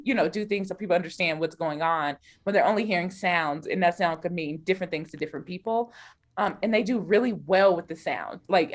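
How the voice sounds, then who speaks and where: A woman from the United States chatting casually as a guest recommending a podcast. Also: medium pitch. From Denver, United States. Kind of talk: casual conversational chat. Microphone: remote video call recording.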